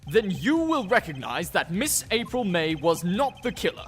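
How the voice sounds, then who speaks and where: Man acting smug male prosecutor, formal and pompous, high register, dramatic swoops, faint music behind, game fan dub. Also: big pitch swings, normal speed, a bit bright. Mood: pompous, insistent.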